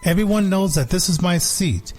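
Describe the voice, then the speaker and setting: A man reading as sly storybook house cat, measured. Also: high voice, expressive, darkish tone, soft background music. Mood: contented. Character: sly, contented big house cat telling its own tale.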